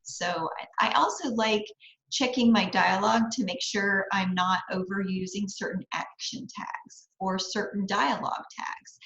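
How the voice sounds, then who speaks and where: Female guest mentor, medium pitch, conversational coaching delivery, roomy sound. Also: a bit bright. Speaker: guest author, writing mentor and life coach. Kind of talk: conversational advice-giving. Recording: dull, roomy microphone sound.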